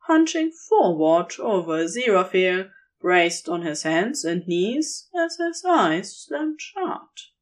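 A woman reading scripted fiction as a narrator. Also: mid-range voice.

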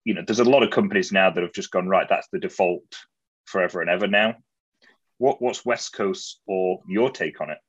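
A man with a very deep, husky voice interviews a guest and fires off follow-up questions. The recording sounds dull and lo-fi. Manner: quick, asks follow-up questions.

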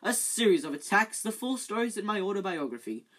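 A vain, boastful wizard speaks in a raised, pompous manner, as a woman reads him out. The voice is a little husky but pitched up with self-importance. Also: very animated, brisk, fairly bright tone.

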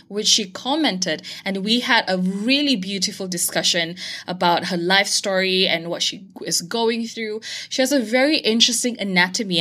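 A woman hosting a podcast, chatting casually, excitable and gushing. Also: mid-range voice. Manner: excitable, gushing, laughs often. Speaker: podcast host. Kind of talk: casual interview chat.

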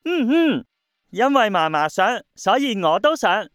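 An earnest, curious young schoolboy in a radio drama. The voice is clean and clear, naive and questioning, recorded dry and close. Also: medium pitch, big pitch swings, unhurried, a bit bright, no room sound, soft background music. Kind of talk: Cantonese radio drama acting.

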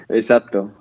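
A man's voice: medium pitch, fairly bright tone, husky, highs cut off. A man in his late twenties from Madrid with a Spain Spanish accent, a podcast guest talking casually with many fillers and restarts. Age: 20s. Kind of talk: casual interview chat.

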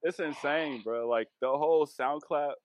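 A man's voice: on the high side, unhurried, slight background noise. A man co-hosting a podcast in casual chat, blunt and slangy, with an exasperated way of griping. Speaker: podcast co-host.

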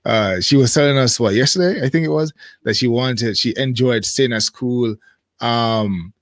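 A man's voice: mid-range voice, normal speed, no echo. Thoughtful man with a dry close sound. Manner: thoughtful, hesitant, many fillers. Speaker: interview guest. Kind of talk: casual interview conversation.

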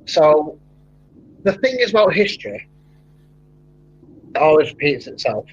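A man's voice: a little slow, highs cut off, a little hiss or hum. A man from Manchester with a broad Mancunian accent, chatting casually and animatedly, often laughing and telling stories. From England (Manchester). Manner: animated storyteller, laughs often, rising pitch when excited. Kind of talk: casual chat.

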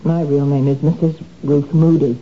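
A woman's voice: sits low, level tone, moderate pace, warm tone, lightly acted. A woman playing a stammering, anxious young wife. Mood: pleading, flustered. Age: young adult. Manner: pleading, stammering, flustered. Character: anxious young wife who can't stop stealing. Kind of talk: 1970s radio drama acting. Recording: dull lo-fi 1970s radio broadcast, faint hiss.

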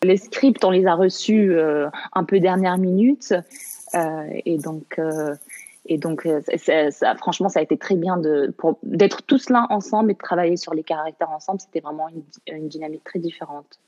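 A woman's voice: sits low, normal speed, dark tone, rough recording. A woman from Canada speaking casually as an interview guest, often hesitant and repeating phrases as she searches for words. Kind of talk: casual, halting interview conversation.

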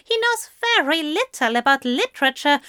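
A woman's voice: high-pitched, very animated, normal speed, pushed and bright, no room sound, clean studio sound, voice pushed up, full character voice. A woman voicing a prim male author with a smug, emphatic manner, as in an audiobook story.

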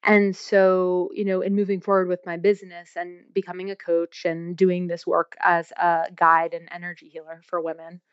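A woman's voice: lowish voice, monotone, moderate pace, hardly any pauses, smooth, no room sound, lo-fi sound. A woman who works as a coach and energy healer, speaking thoughtfully in an interview with frequent fillers. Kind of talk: reflective interview talk.

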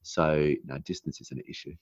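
A man raised in Australia after a New Zealand childhood, speaking as an interview guest in an earnest, halting way with many fillers. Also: very deep voice, steady tone, no echo, highs cut off. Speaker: guest, naturopath. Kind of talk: casual interview chat.

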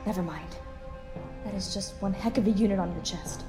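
A woman's voice: mid-range voice, a little fast, dark tone, soft background music, close to an everyday voice. A woman playing a starship officer who is firm but kind with a young crewman. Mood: brisk. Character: caring, steady female starship officer. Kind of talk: audio drama acting.

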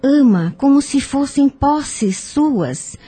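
An actress playing a wise, patient older aunt in a radio soap, giving solemn, measured spiritual counsel. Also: female voice, sits high, lively intonation, warm tone. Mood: solemn, consoling. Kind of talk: radio soap opera acting. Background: music.

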